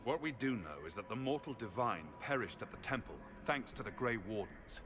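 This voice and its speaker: A man's voice: fairly low pitch, animated, a little fast, over music, natural voice. An actor playing a sardonic, argumentative companion defending his choices. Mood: arguing. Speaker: mage companion. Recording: game audio with ambient noise.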